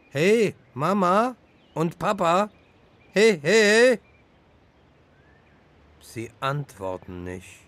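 An actor playing an anxious, wrecked family car that talks haltingly and repeats its words, in a children's radio play. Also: medium pitch, fairly bright tone, dry recording, full character voice. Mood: dazed, worried. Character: wrecked talking family car, anxious, urging, machine character.

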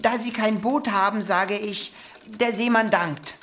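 A woman playing an earnest, naive little would-be seaman in a children's radio play, speaking deliberately. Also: mid-range voice, a bit bright, a little hiss or hum. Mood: stubborn, earnest. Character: male would-be sailor Mr. Cuckoo, earnest, plucky, naive.

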